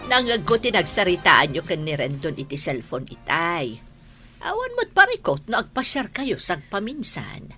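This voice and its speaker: A woman's voice: fairly low pitch, huge pitch swings, moderate pace, bright, forceful tone, faint music behind, exaggerated voice. A woman playing a kindly, motherly middle-aged aunt in a radio-style story drama. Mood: encouraging.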